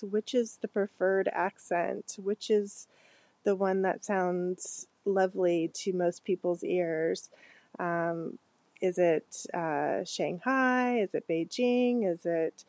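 A woman from the United States leaving a voicemail question, speaking hesitantly with many pauses and fillers. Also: medium pitch, darkish tone, no room sound. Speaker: voicemail caller.

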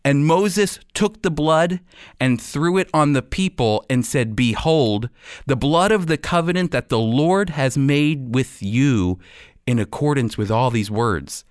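A man's voice: mid-range voice, animated, a little slow, no echo, clean studio sound. A man giving sermon-like Bible teaching as a radio host, in an earnest, emphatic manner.

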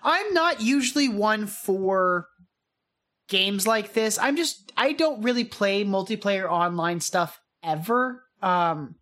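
A woman's voice: mid-range voice, animated, slow pace, fairly bright tone. A woman co-hosting a podcast, chatting casually and eagerly with frequent likes and restarts. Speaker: podcast co-host. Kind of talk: casual chat.

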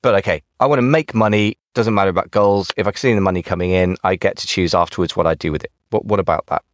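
Jokey podcast host guy, lowish voice, dry close mic. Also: quick pace, dry recording. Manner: playful, jokey openings, thinks aloud with fillers. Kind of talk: casual interview chat.

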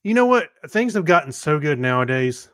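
A man's voice: on the high side, very animated, moderate pace, a bit bright, dry recording, studio quality. A middle-aged man co-hosting a podcast, chatting casually and telling personal anecdotes. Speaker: podcast co-host.

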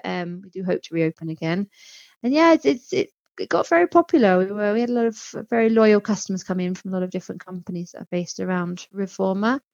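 A woman's voice: mid-range voice, gravelly, no echo. Woman chatting, dull close recording. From outside Mexico, not American. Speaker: interview guest, small restaurant and shop owner. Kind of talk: conversational interview.

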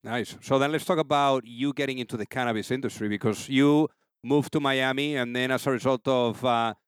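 A man's voice: medium pitch, brisk, clear, resonant tone, no echo, studio quality. A man hosting a business interview podcast, asking loose, conversational questions with many fillers. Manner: relaxed, filler-heavy questions. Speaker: podcast host. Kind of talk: casual interview, reads sponsor ad.

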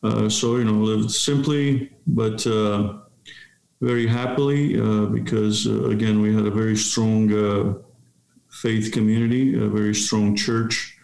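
A man in his fifties from Romania, a seminary professor answering an interview in a measured way with many fillers. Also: lowish voice, steady tone, vocal fry, a little mellow, reverberant, low-quality recording. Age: 50s.

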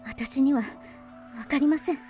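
Very high-pitched anxious young maiden. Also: female voice, slow pace, warm tone, faint music behind. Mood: anxious, pleading. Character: anxious, devoted young maiden pleading with a samurai. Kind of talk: period radio drama acting.

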